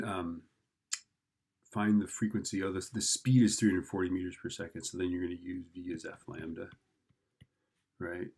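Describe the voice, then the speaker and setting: A man's voice: low voice, gravelly, a little mellow, slightly rough. A man giving an informal physics lesson, thinking aloud as he works through a problem and often checking in with the listener. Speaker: physics teacher.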